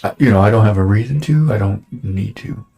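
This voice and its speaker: Low gravelly man in his forties, rambling. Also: a little fast, mellow. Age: 40s. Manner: rambling, with many fillers and restarts. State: slightly raspy from breathing dust. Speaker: show host. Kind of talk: casual chat. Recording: roomy echo.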